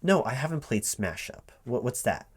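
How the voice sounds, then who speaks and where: A man playing a recruiting manager in a one-sided spoken interview roleplay, halting and puzzled as he repeats things back as questions. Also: mid-range voice, very animated, husky.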